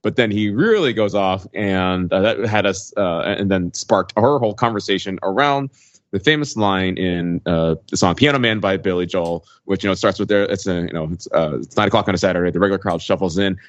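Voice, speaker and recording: A man's voice: low voice, brisk, no echo. A man in his forties chatting casually as a podcast panelist, giving his opinions in an informal, conversational way. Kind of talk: casual chat. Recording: dull lo-fi sound, very dry.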